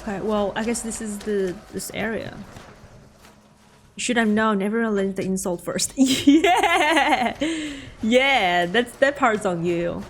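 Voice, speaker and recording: Slow, halting woman streamer with a Mandarin accent. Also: mid-range voice, a little hiss or hum. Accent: Mandarin-accented English. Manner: halting, chatty, answers chat with many restarts. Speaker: game streamer. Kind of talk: casual live-stream chat while gaming. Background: game music.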